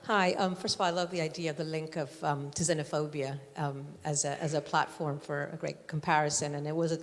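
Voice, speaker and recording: A woman's voice: deep voice, fairly even intonation, brisk. A woman in the audience making an articulate, chatty comment with frequent asides. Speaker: audience member. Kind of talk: commenting from the floor. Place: large hall. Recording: hall reverb.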